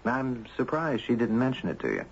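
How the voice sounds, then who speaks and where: A man playing a courteous, curious storyteller, gentle, thoughtful and well-spoken, in a muffled, noisy 1970s radio drama. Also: medium pitch, sing-song, fairly quick. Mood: puzzled, intrigued. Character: courteous, curious man, narrating his own strange tale.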